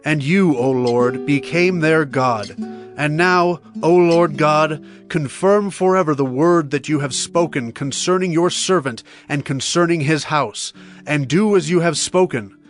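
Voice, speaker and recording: A man's voice: a little high, measured pace, close to an everyday voice. A man playing a humble, devout king in a dramatized audio Bible, speaking in an earnest, reverent declamation. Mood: reverent, grateful. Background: soft plucked guitar music.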